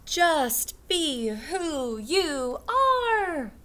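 A woman in her thirties, a teacher, reading a picture book aloud to young children in a classroom with a slow, sing-song storytime delivery. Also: high-pitched, sing-song, sharp tone. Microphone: webcam at desk.

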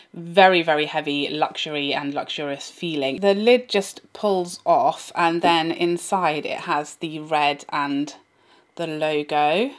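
A woman in her thirties speaks in a somewhat low voice, a little hoarse from a cold. She chats eagerly and directly to her viewers, as if sitting across from them in a bright room at home. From England. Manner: chatty, enthusiastic, talks to viewers directly. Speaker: beauty vlogger. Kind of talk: casual product review.

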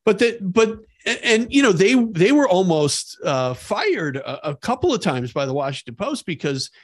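A man co-hosting a podcast, discussing history conversationally in a halting, repetitive way. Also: high voice, sing-song, dry recording. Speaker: podcast co-host.

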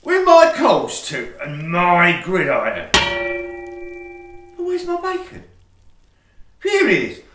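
A man's voice: sits high, wildly swooping pitch, slow, pauses often, fairly bright tone, recorded from a distance, a little hiss or hum, exaggerated voice. A man playing a grumbling, indignant Victorian printer in an audio farce, with grandiloquent, plaintive delivery. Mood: startled, vengeful. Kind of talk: theatrical farce acting for audio play. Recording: roomy echo, away from the mic.

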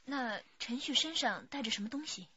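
An actress playing a composed, knowledgeable police detective with a husky voice in a radio drama. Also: female voice, fairly high pitch, lively intonation, normal speed, pushed and bright, highs cut off, full character voice. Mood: composed, attentive.

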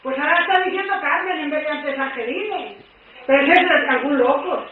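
A woman's voice: high voice, a little fast. A woman with an accent from Spain on a telephone line, protesting repeatedly in an exasperated, spontaneous way. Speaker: recipient of a prank phone call. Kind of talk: spontaneous phone conversation.

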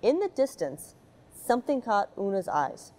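A woman's voice: medium pitch, very animated, moderate pace, a little mellow. A middle-aged woman from the United States, an astronaut, reading a picture book aloud to children aboard a space station, with chatty asides between pages. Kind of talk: storybook read-aloud for children. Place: space station module, indoors.

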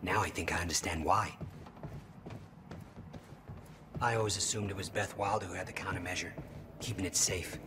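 An actor playing an intense, insistent scientist in a cinematic video game, explaining urgently and often dropping to a hush. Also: male voice, sits low, steady tone, fast, noisy background, close to an everyday voice. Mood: thoughtful. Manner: urgent, explanatory, breaking into hushed whispers. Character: intense, insistent male scientist, older brother of the hero.

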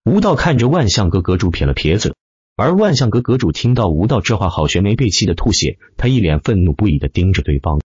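A man reads dramatic fiction with theatrical emphasis. His low voice has a husky grain and swings widely in pitch as he drives each sentence. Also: big pitch swings, fast, a little mellow, dry recording, dull audio. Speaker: audiobook narrator. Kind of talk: dramatic scripted web-novel narration.

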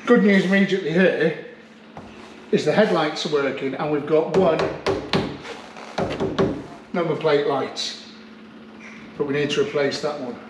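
A man's voice: a little high, a little slow, long pauses, reverberant, background noise. A man chatting casually and joking as he checks over an old car in his garage workshop. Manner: chatty, jokey, thinking aloud. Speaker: car restoration vlogger.

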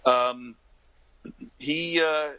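New guy around 50, really bright forceful voice, chatting away like a storyteller on a podcast. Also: a little high, steady tone, very slow. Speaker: interview guest, actor and comedian. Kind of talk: casual interview anecdotes and advice.

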